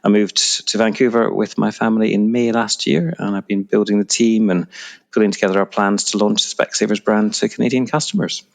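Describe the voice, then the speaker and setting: A middle-aged man with a Scottish accent and a very low voice full of creak. He speaks conversationally, as a business executive being interviewed. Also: steady tone, brisk. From Edinburgh, Scotland. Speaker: retail executive, interview guest.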